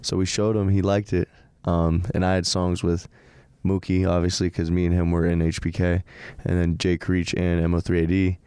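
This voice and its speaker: A young man chats in a laid-back way as a guest on a studio podcast. His voice is very deep and mellow, smooth and clear, with a flat, even delivery. The sound is dry and close. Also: moderate pace, no echo. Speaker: musician guest. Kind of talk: casual podcast chat.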